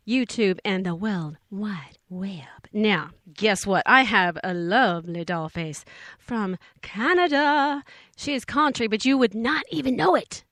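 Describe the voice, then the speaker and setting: A woman's voice: mid-range voice, fairly bright tone, no room sound, studio quality. A woman from Texas, hosting an indie radio show in bubbly, slangy, rambling chatter. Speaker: radio host.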